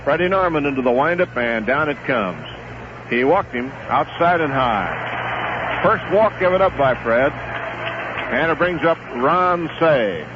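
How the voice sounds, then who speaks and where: A man's voice: on the high side, a little slow. Man calling baseball on old radio. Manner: steady relaxed play-by-play, rising excitement on big plays. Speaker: play-by-play radio announcer. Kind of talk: live baseball radio commentary. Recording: muffled vintage 1970s radio broadcast with hiss.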